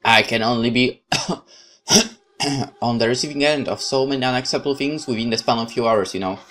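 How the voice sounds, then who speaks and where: A man's voice: mid-range voice, moderate pace, a bit bright. A man reading a horror visual novel aloud as a let's play host, dramatic narration with casual asides.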